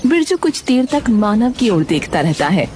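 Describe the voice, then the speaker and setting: A woman's voice: fairly high pitch, normal speed. A woman narrating a cartoon story in a scripted storytelling style. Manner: storytelling, expressive.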